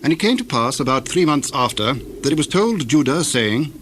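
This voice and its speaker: A man narrating scripture from a script in a measured, formal style. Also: mid-range voice, sing-song, a bit bright. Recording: older recording with faint noise.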